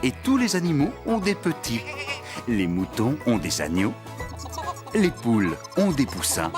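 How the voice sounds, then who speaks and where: Lively cartoon farm storyteller. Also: male voice, medium pitch, a little slow, music in the background. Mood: storytelling.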